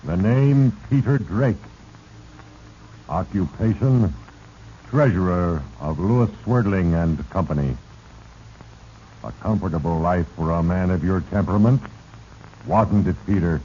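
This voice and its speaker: A solemn, menacing spirit of destiny narrates an old radio drama. The delivery is very slow and dark, with ominous deliberation, over a faintly noisy vintage recording. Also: male voice, low-pitched, muffled recording. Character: Fate, sinister solemn male spirit narrator manipulating mortals.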